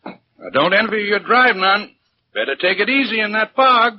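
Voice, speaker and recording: An actor playing a chatty, folksy small-town American filling station owner, easygoing and gossipy, in a 1940s radio drama. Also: male voice, high-pitched, animated, normal speed, over music. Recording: muffled, noisy 1940s radio recording.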